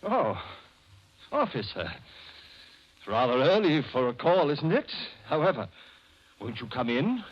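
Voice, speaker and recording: A man's voice: fairly high pitch, sing-song, measured pace, pauses often. Jittery madman murderer, wildly swinging delivery. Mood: nervously polite. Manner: theatrical, overpolite, hesitant and jittery. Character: nervous madman murderer feigning genteel calm, hiding guilt. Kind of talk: 1940s radio acting, suspense monologue and dialogue. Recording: noisy, muffled old radio recording.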